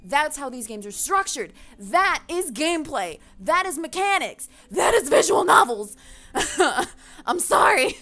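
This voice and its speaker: Impassioned woman with a high-pitched voice. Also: sing-song, slow pace, sharp tone. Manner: passionate, emphatic, swears casually. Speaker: gaming video creator. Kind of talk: casual opinionated rant to viewers.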